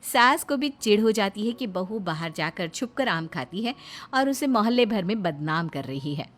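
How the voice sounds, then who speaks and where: A woman's voice: medium pitch, expressive, normal speed. A woman giving scripted narration for a cartoon story.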